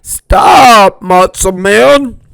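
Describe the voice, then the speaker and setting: A man voicing a hungry old storybook grandfather who calls out loudly. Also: sits high, expressive, drawn-out words, creaky, clear, resonant tone, no room sound, voice pushed up, exaggerated voice. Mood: exclaiming. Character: old grandpa cook calling out, hungry and loud.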